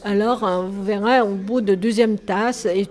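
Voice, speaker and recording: A woman from China speaking French as a second language with a Chinese accent, answering interview questions in a halting way and often correcting herself. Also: mid-range voice, fairly even intonation, a little mellow. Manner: halting, searching for words, self-correcting. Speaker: tea shop owner.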